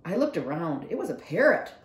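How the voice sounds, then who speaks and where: A woman reads a storybook aloud as a library read-along. Her voice sits a little low and moves with highly expressive intonation. The recording has a noticeable room echo. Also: fairly quick. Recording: roomy echo.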